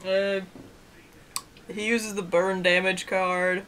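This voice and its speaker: A woman's voice: mid-range voice, level tone, takes it slowly, fairly bright tone, slight background noise. A woman co-hosting a casual review with mocking, sardonic banter. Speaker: co-host of an anime review show.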